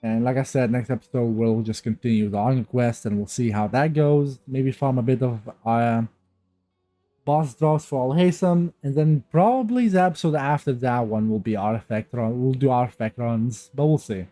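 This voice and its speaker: A man with a stuffy, cold-clogged nose talks casually over a game, looping back and restarting his sentences as he goes. His voice is mellow and dark, and the recording is dry and close on a new mic. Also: medium pitch, normal speed, dry recording. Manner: rambling, many restarts and fillers. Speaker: gaming youtuber. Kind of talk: casual gameplay commentary. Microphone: new mic, untuned.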